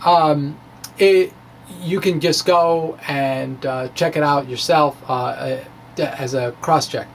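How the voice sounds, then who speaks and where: A man's voice: on the high side, fairly even intonation, slow pace, slight background noise, rough recording. A man informally presenting his own science blog, wry and playful, often hesitating mid-phrase. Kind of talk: dramatic reading of a physics blog, informal explaining.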